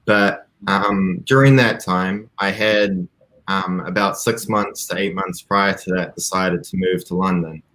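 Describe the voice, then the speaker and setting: A man's voice: low voice, steady tone, measured pace, sharp tone, rough recording. A young man from New Zealand, talking over a video call as an interview guest, thoughtful with frequent ums and restarts.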